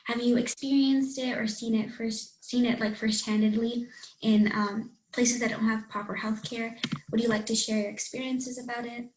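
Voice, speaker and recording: Higher-voiced young woman host, echoey room. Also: steady tone, normal speed, a little mellow. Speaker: interview host. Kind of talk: polite, informal interview questioning. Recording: echoey room with faint noise.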